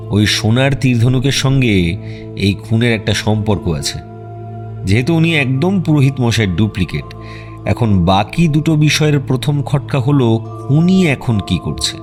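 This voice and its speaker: A man playing an astute private detective in an audio drama, speaking in a measured, analytical, confident way. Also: fairly low pitch, lightly acted. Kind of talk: Bengali radio drama acting.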